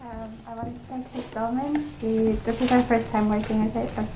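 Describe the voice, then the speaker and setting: A woman's voice: fairly high pitch, little pitch movement, moderate pace. A young woman giving an informal thank-you speech in a noisy, echoey room. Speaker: student theatre member giving thanks.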